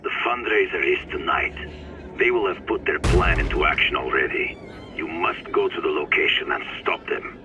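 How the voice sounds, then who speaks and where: An actor playing an intense, seasoned hunter mentor in a video game, speaking formally and deliberately with a commanding manner. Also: male voice, very deep voice, expressive, lo-fi sound, noisy background. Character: intense brooding seasoned male hunter mentoring a masked hero. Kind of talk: dramatic video game voice acting.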